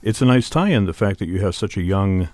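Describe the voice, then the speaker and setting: A low-pitched man hosts a relaxed-format arts interview on radio. His questions are thoughtful and loosely phrased, and the studio sound is very dry. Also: fairly quick, dry recording.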